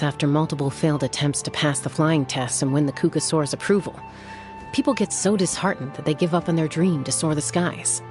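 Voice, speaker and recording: A woman plays a composed female warrior in a video game. She explains things in a measured, matter-of-fact manner, protective yet frank. Faint music runs underneath. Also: deep voice, natural voice. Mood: sober, explaining. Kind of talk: video game dialogue acting.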